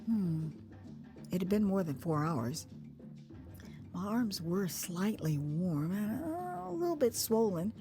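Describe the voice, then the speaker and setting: An American woman narrating her own memoir as a dramatized first-person story, conversational and expressive, acting out moments as she goes. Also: fairly low pitch, slow pace. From United States. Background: music.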